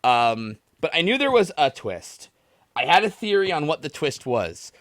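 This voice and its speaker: A man's voice: on the high side, very animated, normal speed, fairly bright tone, no echo. Casual male podcast host, close dry sound. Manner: jokey, ribbing. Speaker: podcast host taking callers. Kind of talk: casual chat.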